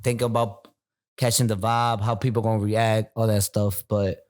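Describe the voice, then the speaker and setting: A young man, a rapper guest talking in a relaxed, slangy way. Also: on the low side, normal speed. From Puerto Rico, raised in Philadelphia. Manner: laid-back street slang. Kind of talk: casual chat. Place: bar.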